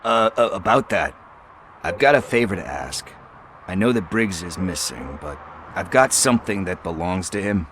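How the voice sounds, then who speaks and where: An actor playing a chatty, evasive street hustler who talks in wheedling casual slang. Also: male voice, low-pitched, lively intonation, normal speed, fairly bright tone, slight background noise. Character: shifty street hustler, middle-aged man, chatty and evasive.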